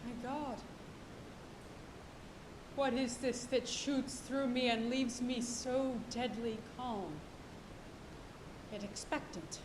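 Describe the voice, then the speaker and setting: A woman playing a sober, mournful first mate on a whaling ship, speaking solemnly and with measured gravity on stage. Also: steady tone. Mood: grave, mournful. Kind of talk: theatrical stage acting of a literary tragedy. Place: stage of a college auditorium. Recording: echoey auditorium, clear rumbling noise.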